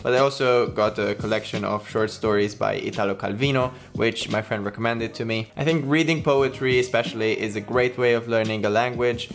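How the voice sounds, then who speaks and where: A man in his twenties from Romania, a native Romanian speaker, narrating a language-learning vlog in a measured, articulate and explanatory way. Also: lowish voice, steady tone.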